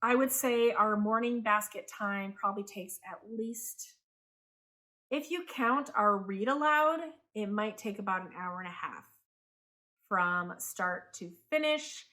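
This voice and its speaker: A homeschooling mom in her thirties, raised in Taiwan, talking casually to her viewers. She rambles in a friendly way and often stops to correct herself. Her voice sits at a middle pitch for a woman. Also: unhurried, bright, forceful tone. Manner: chatty, corrects herself mid-sentence. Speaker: homeschooling parent and channel host. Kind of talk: casual talk to camera.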